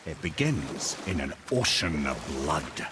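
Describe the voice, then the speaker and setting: An actor playing a wry, learned old Scottish storyteller in a video game, telling tales theatrically. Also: male voice, rumbling low, big pitch swings, slow, noisy background, full character voice. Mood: solemn storytelling.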